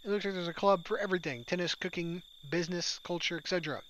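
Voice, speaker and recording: A man's voice: high-pitched, fast, fairly bright tone, dull audio, slight background noise. A man reading a visual novel aloud, giving its first-person narration and lines plainly.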